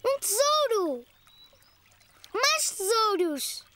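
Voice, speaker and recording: A woman voicing a cheerful, excitable four-year-old boy in a children's cartoon, with a European Portuguese accent and a childlike, eager manner. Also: very high voice, extremely slow, loud music behind the voice, full character voice. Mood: excited.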